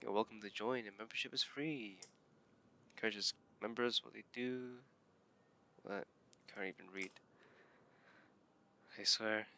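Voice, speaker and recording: A man's voice: lowish voice, a little slow, creaky, pauses often, bright, forceful tone, slight background noise. A man casually commenting as he plays a game, sometimes reading text aloud. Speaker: game streamer.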